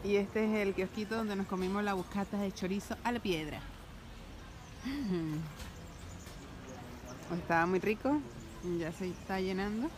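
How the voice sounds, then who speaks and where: A woman's voice: mid-range voice, expressive, slow pace, pauses often, noisy background. A young woman chatting upbeat in a casual travel vlog, filming herself on a phone at arm's length at an outdoor Christmas market. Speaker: travel vlogger. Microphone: phone held at arm's length. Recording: busy outdoor market with loud music and crowd. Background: music and crowd.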